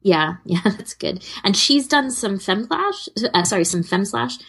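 A woman's voice: medium pitch, lively intonation, a little slow, gravelly, fairly bright tone, slightly rough. A woman co-hosting a podcast in casual fan chat, rambling a little and correcting herself as she goes. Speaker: podcast co-host.

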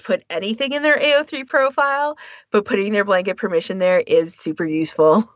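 A woman's voice: medium pitch, brisk, no echo, muffled recording. A woman co-hosting a podcast, explaining her thoughts at length in a casual panel discussion. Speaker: podcast co-host.

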